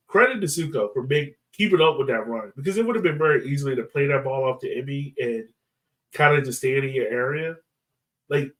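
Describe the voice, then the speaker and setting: A man with a mid-pitched voice chats casually about sports as a podcast co-host. His delivery is informal and slangy, and he sounds a little distant from the microphone. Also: fairly bright tone.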